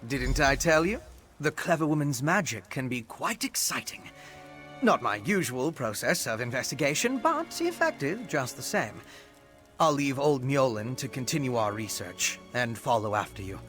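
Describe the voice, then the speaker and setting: A man playing a curious, excitable, cheerfully condescending gentleman investigator in a video game, erudite and theatrical. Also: medium pitch, moderate pace. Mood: intrigued, pleased. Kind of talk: video game character voice acting. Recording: game music behind.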